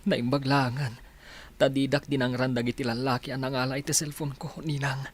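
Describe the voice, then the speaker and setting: A man's voice: medium pitch, little pitch movement, normal speed, slightly rough, lightly acted. A man with an Ilocano accent playing an anxious young man in love in a radio drama. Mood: downcast.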